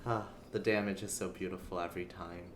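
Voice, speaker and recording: A woman's voice: rumbling low, steady tone, fast talker. A woman streaming a video game with casual commentary, muttering playfully half to herself as she plays. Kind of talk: casual live gameplay commentary. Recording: noisy room, clattering keyboard and typing. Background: keyboard clicks and typing.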